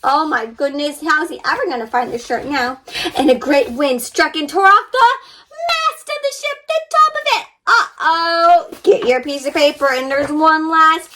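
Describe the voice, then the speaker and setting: A woman reading a storybook aloud to young children with animated, wide-eyed expression, guiding them directly as she goes. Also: high-pitched, moderate pace. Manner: addresses young listeners directly with questions and sound effects. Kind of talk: interactive storybook reading and paper-folding instruction.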